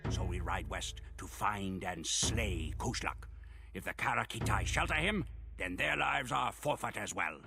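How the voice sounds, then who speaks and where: A grave Mongol chronicler of war in a game cutscene. His voice is low and gravelly, solemn and measured, with music playing behind it. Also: expressive. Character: grave Mongol follower narrating the Khan's conquests. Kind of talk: scripted dramatic narration.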